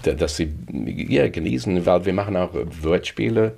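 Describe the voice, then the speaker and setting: Really deep guy, native english speaker accent, searching for words in an interview. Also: moderate pace, creaky, a little mellow, breathy edge. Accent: English-speaking foreign. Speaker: musical comedian in a duo. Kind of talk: interview answers.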